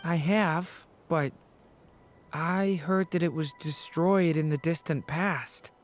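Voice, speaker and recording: An actor playing a kindly, gentle young traveler in a game drama, speaking earnestly and sincerely. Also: medium pitch, slow, a little hiss or hum, close to an everyday voice. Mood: concerned. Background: music.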